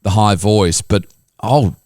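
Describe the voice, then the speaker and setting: Australian male host, low voice, chatty and stumbling, dry close mic. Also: takes it slowly, darkish tone, dry recording. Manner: stumbling repeats, conversational. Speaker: podcast host. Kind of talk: casual interview chat.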